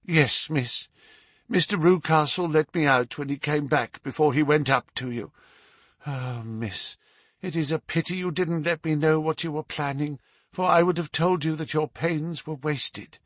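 A man's voice: fairly high pitch, measured pace, a bit bright, no echo, clean studio sound, pitched above the performer's own voice. A man voicing a gaunt, plain-spoken servant woman in a storybook, deferential and aggrieved, with folksy phrasing. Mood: aggrieved, eager.

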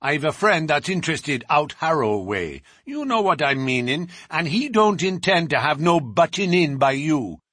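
A man voicing a menacing male prizefighter thug who talks in rough, ungrammatical threats. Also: fairly high pitch, sing-song, normal speed, hardly any pauses, a bit bright, no room sound, studio quality, pitched above the performer's own voice, heavily acted. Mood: threatening.

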